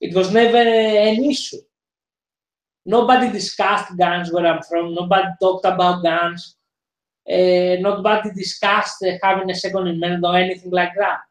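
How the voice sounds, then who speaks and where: A man from Greece speaks accented English as an interview guest. His voice sits high, and he hesitates often, circling back with fillers and repeats. The sound has a roomy echo. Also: steady tone, normal speed. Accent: Greek. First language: Greek. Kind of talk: casual interview conversation.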